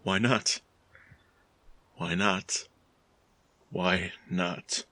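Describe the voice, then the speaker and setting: A man's voice: deep voice, fairly even intonation, extremely slow, pushed and bright, no echo, heavily acted. A man playing a tormented, obsessive chemist who broods and repeats his words in a 1940s-style radio horror drama. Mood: bitter recollection.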